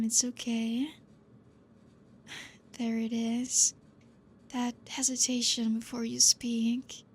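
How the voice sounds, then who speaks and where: A woman voicing a gentle, possessive female vampire professor in an ASMR roleplay, talking softly and coaxingly and slipping into whispers. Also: a little high, slight background noise. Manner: soft, slow and coaxing, drifting into whispers.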